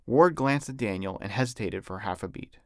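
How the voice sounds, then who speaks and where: A man's voice: fairly low pitch, fairly quick, no echo, clean studio sound. A man, an author, reading his own novel as scripted audiobook narration.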